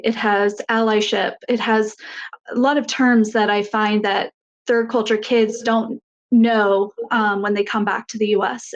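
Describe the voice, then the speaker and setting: A woman sharing earnestly in a group discussion in a halting, reflective way, as a facilitator. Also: a little high, highs cut off. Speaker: workshop facilitator and author.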